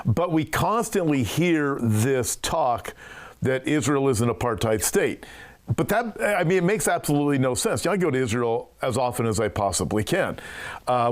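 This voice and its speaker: A man, a pastor hosting a show, asking long earnest questions full of fillers. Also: mid-range voice. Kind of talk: interview conversation.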